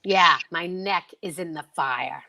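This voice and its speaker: A woman's voice: lowish voice, takes it slowly, gravelly, bright, forceful tone, voice pushed down, heavily acted. A woman playing a worried department store advertising woman in 1940s radio acting. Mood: worried, urgent.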